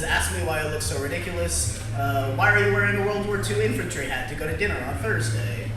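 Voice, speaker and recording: A young man doing a stand-up set, delivering dry jokes with many halting fillers. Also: a little high, far from the mic, background noise. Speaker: stand-up comic. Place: small comedy club. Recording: echoey room, mic heard from afar. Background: crowd ambience and laughter.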